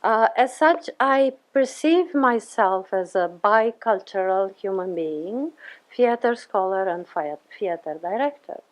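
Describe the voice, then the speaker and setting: A woman's voice: mid-range voice, lively intonation, measured pace. A woman, a theater scholar presenting a paper in a careful, formal way with frequent hesitations. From Romania. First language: Romanian. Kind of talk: conference talk.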